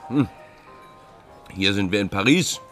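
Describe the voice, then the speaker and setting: An actor in a radio play voicing a defiant, brooding fugitive of about forty, in a deliberate, theatrical manner. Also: male voice, fairly low pitch, a bit bright, faint music behind. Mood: guarded. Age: 40s. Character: defiant, self-absorbed forty-year-old male fugitive, bored no more. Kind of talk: radio drama acting, interior monologue and dialogue.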